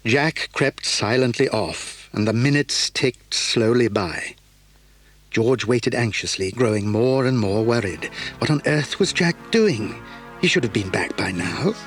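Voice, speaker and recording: A man's voice: mid-range voice, lively intonation, soft background music. Man narrating a suspenseful children's story. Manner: suspenseful, measured reading.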